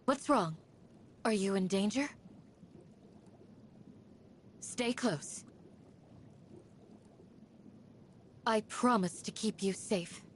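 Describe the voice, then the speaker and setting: A woman's voice: mid-range voice, measured pace, lightly acted. Woman voicing a composed young female desert guardian, noisy recording. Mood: gentle, caring. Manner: gentle, earnest, composed in talk. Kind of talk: video game character voice lines.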